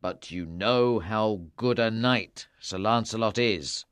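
A grave medieval king from a storybook, played by a man, weary and resigned. His voice sits fairly low and he speaks in a measured way. The sound is dry. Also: a bit bright, clear, resonant tone, no room sound. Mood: warning. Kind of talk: dramatised storybook reading.

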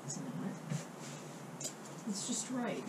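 A middle-aged woman chatting casually and encouragingly while helping in a home workshop. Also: extremely slow. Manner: encouraging, soft-spoken. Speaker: helper, host's wife. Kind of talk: casual chat. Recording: distant, echoey, noisy workshop.

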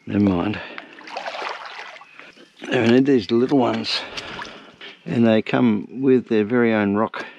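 A man narrating his solo sailing trip from a small wooden boat outdoors, talking in an unhurried, reflective way with wry asides. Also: mid-range voice, creaky, muffled recording, noisy background. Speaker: sailing vlogger. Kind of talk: casual first-person narration while sailing.

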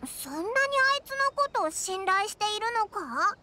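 Cheeky tiny fairy sidekick for an anime game: very high, squeaky, highly animated, brash. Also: female voice, moderate pace, exaggerated voice. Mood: curious. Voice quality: squeaky, childlike. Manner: brash, boyish casual speech. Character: tiny floating childlike fairy companion, cheeky and boastful.

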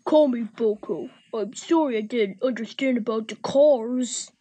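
A woman playing a grumpy but forgiving male diesel engine in a children's story, with a drawn-out, huffy delivery. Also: on the high side, mellow, slightly rough. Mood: conciliatory. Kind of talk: children's storybook character acting.

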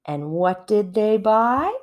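A woman reading a children's story aloud with expressive narration. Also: medium pitch, slow pace. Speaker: storybook reader.

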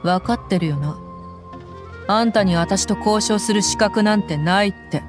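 A woman voicing a tough, blunt female desert mercenary in a game dub, talking in a rough, commanding way over loud background music. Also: mid-range voice, normal speed, lightly acted. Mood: stern.

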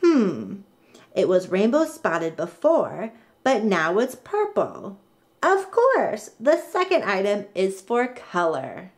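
A woman's voice: medium pitch, sing-song, slow. A woman voicing an eager, determined young girl inventor in a storybook, exclaiming and thinking aloud. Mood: curious, excited.

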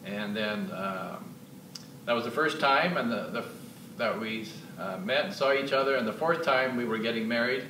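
A man in his sixties from Alberta, a missionary guest telling his story in a halting way full of filler sounds. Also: medium pitch. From Canada, Alberta. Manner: hesitant, many filler uhs. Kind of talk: casual interview testimony. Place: church lounge with sofas, indoors. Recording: roomy echo, distant mic, clear hiss.

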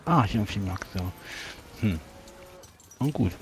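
A man playing a courteous, slightly naive gentleman adventurer with formal, flowery manners in a tabletop role-play. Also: on the low side, expressive, a little slow, voice pushed down. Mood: polite, inquiring.

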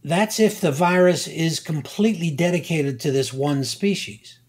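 An older man, a guest scientist, explaining slowly and deliberately, pausing and repeating words as he thinks. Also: a little high, a little mellow. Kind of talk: unhurried expert explanation.

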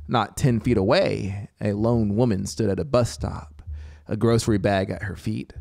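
A man in his thirties narrating fiction dramatically, with measured, deliberate phrasing and pauses, close on a studio microphone. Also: on the low side, gravelly, a little mellow, no room sound. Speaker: audiobook narrator. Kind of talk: dramatic scripted story narration. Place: small home music studio, indoors. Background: music soundscape.

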